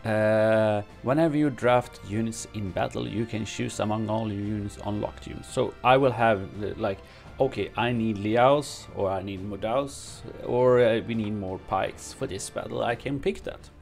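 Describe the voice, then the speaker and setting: Gravelly low-voiced man reading game news. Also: soft background music. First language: not English. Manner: halting, many fillers and restarts. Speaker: gaming streamer. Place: home streaming room with purple lights.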